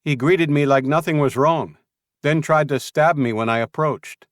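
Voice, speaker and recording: A blunt, tough fighter, performed by a man, accuses in a raised, forceful voice well above the reader's own deep tone. Dry, close storybook reading. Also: normal speed, no echo, lightly acted. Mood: accusing.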